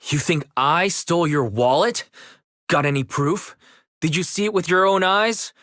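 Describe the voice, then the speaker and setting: A man's voice: a little high, measured pace, a bit bright, no echo, studio quality. An actor playing a cool-headed, defiant young man in a video game, with a blunt, casual way of talking.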